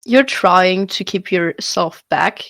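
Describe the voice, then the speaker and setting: A woman's voice: on the low side, slow, gravelly, fairly bright tone, no echo. A young woman who is not a native English speaker, answering questions hesitantly on a video call, with many fillers and restarts. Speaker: student poet answering questions.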